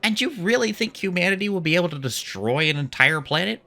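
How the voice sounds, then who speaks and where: An actor playing an indignant, principled activist arguing emphatically in an audio drama. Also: male voice, high-pitched, animated, quick pace, gravelly, bright, forceful tone, heavily acted. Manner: emphatic, argumentative. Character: indignant, principled alien-rights activist, sticks to facts.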